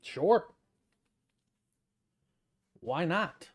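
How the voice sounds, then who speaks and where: A man's voice: on the high side, lively intonation, extremely slow, breathy edge. A man hosting a casual video game playthrough, tossing out dry, sardonic quips. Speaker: gaming video host.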